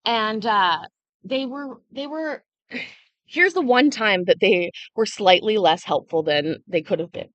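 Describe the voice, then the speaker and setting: A woman's voice: mid-range voice, expressive, takes it slowly, no echo, muffled recording. A young woman hosting a casual livestream, chatting freely and answering viewers' comments as they come in. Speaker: livestream host.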